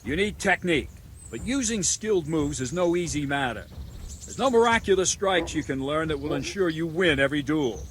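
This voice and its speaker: A man's voice: fairly high pitch, animated, normal speed, a bit bright, slight background noise. A man playing a stern, demanding medieval swordmaster in a video game, giving blunt, commanding, instructive lines to a pupil. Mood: instructive, measured. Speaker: combat trainer.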